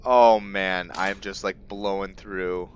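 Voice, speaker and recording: Male let's play host, lowish voice, unhurried and halting, casual commentary over faint background noise. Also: fairly even intonation. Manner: halting, thinking aloud. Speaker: gamer hosting a roleplay let's play. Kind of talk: casual game commentary with character lore.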